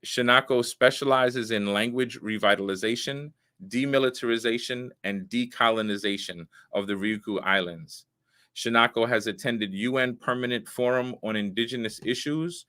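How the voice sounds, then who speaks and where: A man's voice: fairly low pitch, sharp tone. Earnest male talk show host. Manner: earnest, measured, with frequent pauses and fillers. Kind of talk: hosting a live online talk show.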